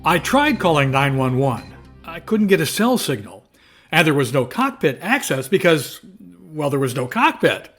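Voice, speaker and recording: A man playing an excitable, incredulous newspaper reporter in an audio drama. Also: on the high side, moderate pace, faint music behind. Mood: wry recounting. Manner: exclamatory, incredulous.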